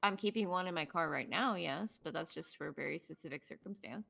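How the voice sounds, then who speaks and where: Woman playing a bright, creaky, teasing officer. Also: fairly low pitch, brisk, a little hiss or hum, full character voice. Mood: guarded, firm. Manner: evasive, sarcastic, repetitive teasing. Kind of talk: improvised online roleplay, casual banter.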